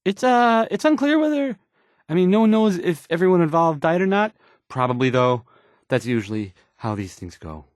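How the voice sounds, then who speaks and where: An actor playing a nervous, bumbling, insecure young radio DJ in a game, hesitant and stammering through the news. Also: male voice, high voice, sing-song, normal speed, no room sound, clean studio sound. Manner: stammering, hesitant, self-doubting. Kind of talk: halting radio news announcing.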